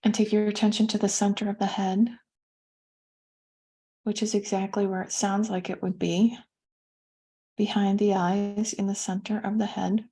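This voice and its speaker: A woman leading a guided meditation as a teacher, speaking gently and soothingly with pauses between phrases. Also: medium pitch, little pitch movement.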